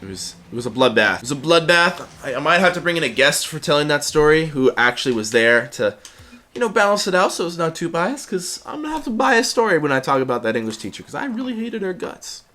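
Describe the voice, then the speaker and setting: Young guy rambling through a storytime, lots of likes, kinda high voice. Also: sing-song, quick pace, sharp tone. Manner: rambling, full of "like". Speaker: vlogger. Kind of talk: casual storytime.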